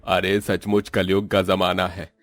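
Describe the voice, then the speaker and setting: A man's voice: low voice, completely flat delivery, unhurried, faint music behind. A man playing a sympathetic village farmer in a cartoon story, lamenting in a pitying, gossipy way.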